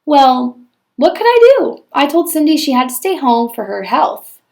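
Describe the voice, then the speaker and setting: Storybook stepmother, read by a woman: snobbish, self-pitying, theatrically put-upon, high-pitched. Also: big pitch swings, normal speed, gravelly, a little mellow, full character voice. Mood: exasperated.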